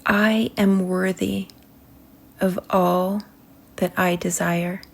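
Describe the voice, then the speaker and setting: Very slow, creaky-voiced woman guiding meditation. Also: on the low side, little pitch movement. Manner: slow, soothing delivery with long pauses between short phrases. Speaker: meditation guide. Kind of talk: guided meditation.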